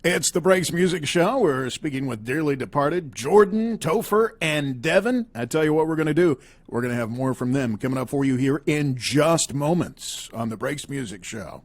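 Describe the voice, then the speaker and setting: A man's voice: a little high, lively intonation, moderate pace. A man hosting a radio music show and interviewing guests in a studio.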